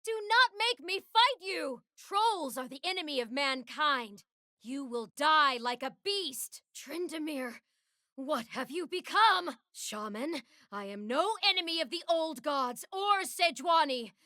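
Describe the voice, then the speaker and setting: A woman's voice: sits high, expressive, a little slow, sharp tone, dry recording, clean studio sound, heavily acted. A woman playing a proud, resolute young warrior queen of a frozen northern tribe in a video game, with a solemn, declamatory delivery. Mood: indignant, pleading. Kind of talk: video game character voice lines.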